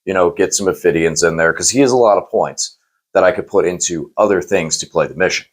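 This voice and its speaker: A man hosting a casual post-game chat, hoarse and losing his voice, talking in rambling, self-correcting runs and teasing his co-host. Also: sits low, quick pace, rough recording. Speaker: channel host.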